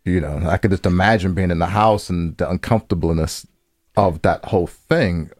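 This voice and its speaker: A man's voice: low-pitched, moderate pace, darkish tone, dry recording, studio quality. A man co-hosting a casual advice discussion, speaking informally with slang and swearing. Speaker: podcast co-host.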